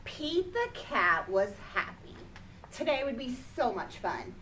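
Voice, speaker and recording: A woman's voice: on the high side, very animated, takes it slowly, pushed and bright. A woman reading a children's picture book aloud expressively, drawing out key words for emphasis. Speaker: storybook reader. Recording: distant mic, faint background noise.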